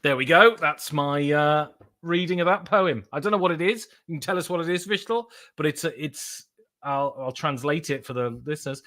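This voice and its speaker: Bright-voiced man, rambling live-stream chat. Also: fairly high pitch, normal speed. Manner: rambling and emphatic, with frequent self-corrections and asides to chat. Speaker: board game content creator and live streamer. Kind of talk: casual live-stream monologue.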